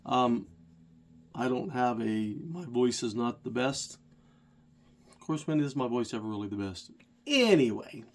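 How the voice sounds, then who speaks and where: A man's voice: medium pitch, darkish tone. Stuffy-voiced man rambling through a story. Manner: rambling, digressive, self-deprecating. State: stuffy head cold. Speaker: rideshare driver and channel host. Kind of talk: casual storytelling vlog.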